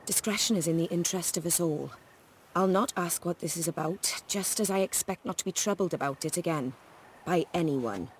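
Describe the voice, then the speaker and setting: A woman playing a self-possessed high-society lady in a fantasy video game, speaking with formal, firm politeness. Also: a little fast, lightly acted. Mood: coolly polite. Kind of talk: video game dialogue acting. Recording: faint background noise, ambience.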